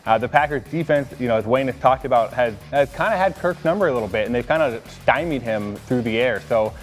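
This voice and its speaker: A man's voice: medium pitch, animated, a little fast, big-room sound, soft background music. An American man talking casually as a sports analyst on a team show.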